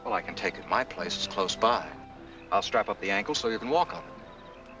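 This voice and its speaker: A man's voice: fairly low pitch, animated, a little fast, close to an everyday voice. An actor playing a lovestruck, defensive man in 1940s film style, speaking earnestly and insistently. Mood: concerned, gentle. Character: lovestruck, defensive young man searching for his missing sweetheart. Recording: 1940s film soundtrack with music.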